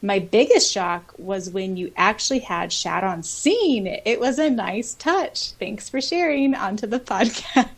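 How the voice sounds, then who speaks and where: A woman co-hosting a podcast, reading comments aloud in a casual, expressive, giggly way. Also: mid-range voice, a little slow, few pauses. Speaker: podcast co-host.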